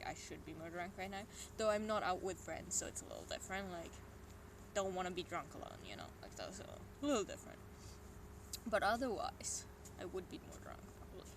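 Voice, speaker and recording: Casting: young adult with a Swedish accent, mid-pitched voice, meandering solo stream chatter with whispered asides. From Sweden. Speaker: livestreamer. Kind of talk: casual solo stream chat. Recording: noisy room ambience.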